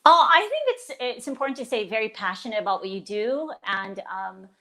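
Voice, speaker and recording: A woman in her forties from the Philippines, a stage performer chatting in an interview. Her voice sits a little high, and she talks warmly and eagerly, often restarting her sentences. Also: animated, a little fast. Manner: warm and enthusiastic, with frequent restarts. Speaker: guest performer. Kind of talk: casual interview.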